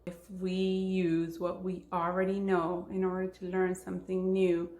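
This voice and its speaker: Female language teacher, Israeli accent, native Hebrew speaker. Slow, even-pitched delivery with emphasis on key words, in a roomy space. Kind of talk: instructional talk to camera.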